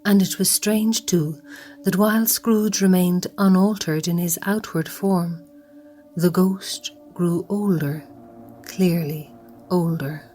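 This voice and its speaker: Slow woman narrating. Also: fairly low pitch, soft background music. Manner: slow, even, sometimes hushed. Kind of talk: scripted storytelling narration.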